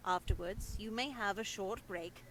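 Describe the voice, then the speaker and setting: A woman's voice: mid-range voice, normal speed, a bit bright, a little hiss or hum, natural voice. A woman playing a stern, pious nun teacher in a visual novel, formal and sanctimonious in manner. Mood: composed, strict.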